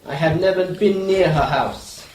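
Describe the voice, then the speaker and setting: A man's voice: sits high, a little slow, a little mellow, reverberant, slight background noise, poor audio. A man playing a fearful, defensive hired burglar in an amateur radio play. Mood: nervous. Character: nervous hired male burglar.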